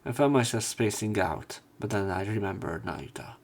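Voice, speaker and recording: A man narrating a visual novel playthrough, reading story text and dialogue aloud with some halting pauses. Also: fairly low pitch, animated. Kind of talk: scripted narration and character line reading. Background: game music, keyboard typing.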